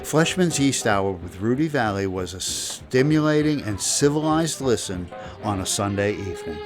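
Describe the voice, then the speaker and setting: A man's voice: fairly low pitch, animated, normal speed. A man narrating a scripted documentary. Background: music.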